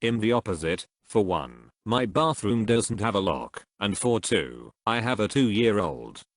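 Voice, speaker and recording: A man reading online comments aloud in an even, steady way. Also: lowish voice, measured pace, dry recording, clean studio sound.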